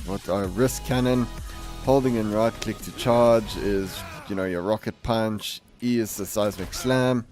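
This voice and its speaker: A man with a fairly low voice gives a casual video game showcase. He talks in a halting, rambling way and often corrects himself. Music plays behind his commentary. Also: darkish tone. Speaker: gaming video host. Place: voice-over on game capture.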